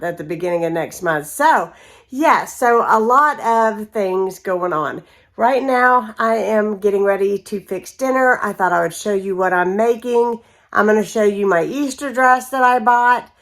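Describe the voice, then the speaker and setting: A woman, chatting casually to viewers while cooking at home, her voice slightly hoarse and her talk rambling with many ums. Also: fairly high pitch, a bit bright. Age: 40s. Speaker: home vlogger. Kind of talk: casual catch-up and cooking chat.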